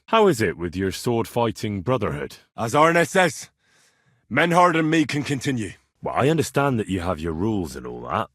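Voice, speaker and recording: A man's voice: medium pitch, dry recording, clean studio sound. An actor playing a plain-spoken, earnest and sometimes cheeky young Bohemian swordsman in a medieval video game. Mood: casual, agreeable. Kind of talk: video game voice lines, naturalistic dramatic acting.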